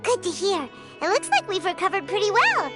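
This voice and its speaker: Tiny perky fairy, extremely high squeaky childlike voice, bouncy intonation, eager, video game, music behind. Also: female voice, sing-song, a little fast. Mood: cheerful, eager. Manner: chirpy, eager, third-person cute talk.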